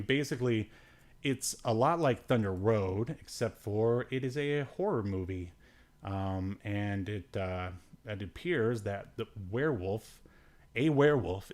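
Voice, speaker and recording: Low-voiced man chatting casually about media. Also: darkish tone. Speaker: podcast host. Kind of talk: casual movie-review chat.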